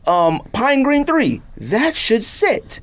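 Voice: mid-range voice, very animated, takes it slowly. A slangy podcast co-host in loose, casual conversation. The voice sits in a middle register but swings widely up and down, and phrases get repeated for emphasis. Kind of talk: casual sneaker chat.

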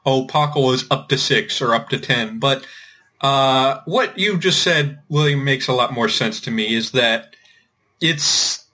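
A man explaining things informally as a podcast host, thinking aloud in a halting way with repeated words. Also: medium pitch, bright, forceful tone. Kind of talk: informal explanatory discussion of linguistics.

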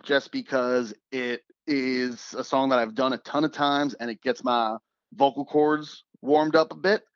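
A man with a slightly raspy voice, a radio producer chatting casually as a guest, relaxed and joking with fillers and restarts. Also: mid-range voice, unhurried, dry recording, highs cut off. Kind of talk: casual interview chat.

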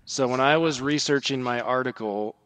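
A man giving earnest podcast commentary in a measured, explanatory way with formal wording. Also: medium pitch, fairly bright tone, clean tone, dry recording.

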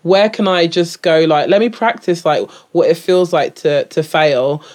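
A woman's voice: fairly low pitch, moderate pace. A woman, a guest improviser, chatting casually in an interview, restarting her sentences often and laughing easily. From England. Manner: animated, full of restarts and fillers, laughs often.

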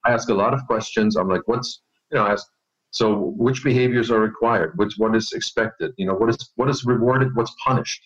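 A man from Germany with a fairly low voice speaks as a coaching expert in an interview. He is conversational and emphatic, often restating a phrase to stress it. The recording is dull and somewhat band-limited. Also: brisk. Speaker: executive coach, interview guest.

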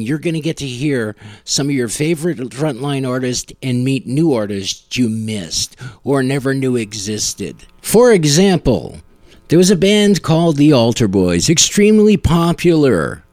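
Male podcast host, casual, conversational, off-the-cuff, medium pitch, dry recording. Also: sing-song, normal speed, a little mellow, no echo. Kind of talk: casual music show hosting.

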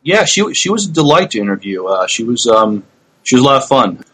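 A middle-aged man co-hosting a podcast, chatting casually with a hesitant, halting delivery. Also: mid-range voice, very animated, fairly bright tone, slightly rough, poor audio. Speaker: podcast co-host.

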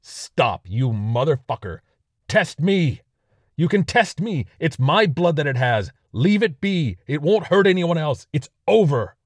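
A man's voice: lowish voice, a little slow, dry recording, voice pushed up, natural voice. A man voicing a defiant, wounded soldier who argues urgently and pleads with his comrades.

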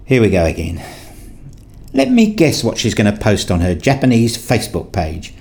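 A man's voice: on the low side, wildly swooping pitch, moderate pace, darkish tone, slightly rough, heavily acted. A wry, playful husband and father played by a man tells his story in a radio play. He sounds like a nerdy everyday dad, slipping between first-person narration and family dialogue.

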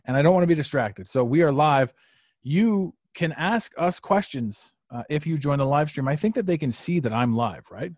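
A man hosting a live podcast, talking casually and rambling, repeating phrases and filling pauses with "uh.". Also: fairly high pitch, expressive, darkish tone, no room sound. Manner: rambling, repeats himself, many fillers. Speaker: podcast host. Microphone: podcast mic on a live stream.

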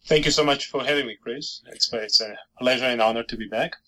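A middle-aged historian from Georgia who grew up speaking Georgian, answering interview questions thoughtfully with many fillers and restarts. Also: male voice, medium pitch, a little fast, sharp tone, low-quality recording. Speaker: historian and author.

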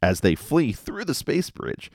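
A man's voice: a little high, very animated, unhurried, vocal fry, dry recording, clean studio sound. A man hosting a podcast, casually recapping episodes with wry, jokey asides. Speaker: podcast host.

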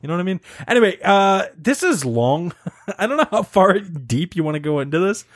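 A man's voice: sits high, lively intonation, fairly quick. A young man co-hosting a podcast, chatting casually with friends, teasing and laughing often. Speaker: podcast host. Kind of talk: casual banter among friends.

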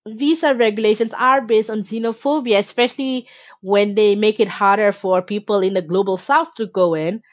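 A woman from Malaysia speaks as an interview guest, with a passionate, filler-heavy rush of words. Her voice sits at a middle pitch. The recording is dry and a little dull. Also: no echo. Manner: passionate, talks fast with many "like" fillers. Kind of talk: casual conversational interview.

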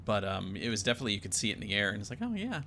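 A man's voice: on the low side, big pitch swings, racing, pushed and bright. A man co-hosting a podcast, laid-back in casual chat, laughing along with many fillers. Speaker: podcast co-host.

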